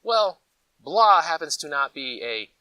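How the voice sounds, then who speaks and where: A man's voice: on the high side, measured pace, dry recording, far from the mic. An American man from Colorado chatting casually as a vlog host, telling stories in a folksy, conversational way. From Colorado, United States. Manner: animated, folksy, conversational storytelling with acted-out asides. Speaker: host of a preparedness gear channel. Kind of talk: casual rambling vlog chat.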